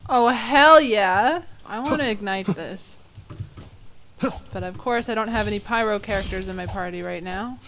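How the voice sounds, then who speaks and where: A woman's voice: medium pitch, moderate pace, fairly bright tone, a little hiss or hum. A young woman giving casual, slangy gameplay commentary as a streamer, reacting playfully and expressively. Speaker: game streamer.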